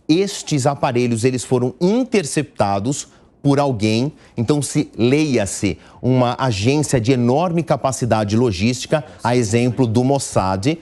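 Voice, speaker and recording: A man's voice: mid-range voice, mellow. A man with a Brazilian accent explaining the news live on television in a didactic, step-by-step way, stressing key words. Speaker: news commentator.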